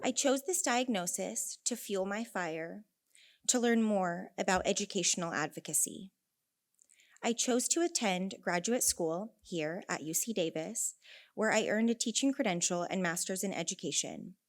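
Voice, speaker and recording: A woman's voice: medium pitch, moderate pace. A young woman with a California accent giving a prepared conference talk, earnest and storytelling, with wry asides. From Northern California. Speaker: conference speaker, elementary teacher. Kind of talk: prepared speech.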